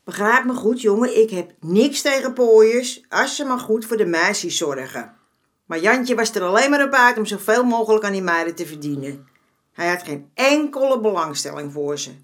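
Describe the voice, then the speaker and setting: A woman's voice: mid-range voice, expressive, moderate pace, lightly acted. An actress playing a streetwise former madam in her seventies in a radio drama, folksy and dismissive in manner. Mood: indignant, defiant. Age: 70s. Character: streetwise 74-year-old former madam, motherly but brazen.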